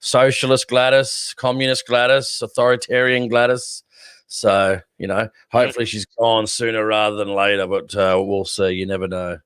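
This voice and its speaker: Blunt low-voiced Australian man. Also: little pitch movement, moderate pace, a bit bright, smooth, no echo. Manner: blunt, sarcastic, swears. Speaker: live stream co-host. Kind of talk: casual opinionated chat.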